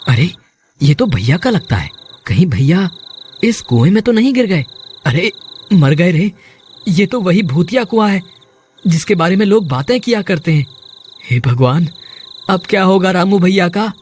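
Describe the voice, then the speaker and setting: A man acts a dutiful young village brother in a cartoon horror story. His voice is high and very lively, earnest and worried, full of exclamations, with faint noise behind. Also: a little slow, pauses often. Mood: anxious, searching.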